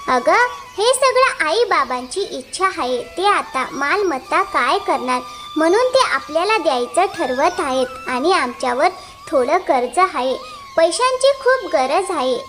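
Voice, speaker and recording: A woman voicing a scheming, insistent elder married sister from a village in an animated moral story. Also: very high-pitched, measured pace, faint music behind, exaggerated voice. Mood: pleading, persuasive.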